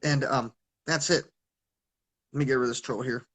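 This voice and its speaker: A man's voice: medium pitch, fairly even intonation, moderate pace, fairly bright tone, slightly rough. A man talking casually as an interview guest on a video call, stuttering and restarting his sentences. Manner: stutters, many restarts and repeated words.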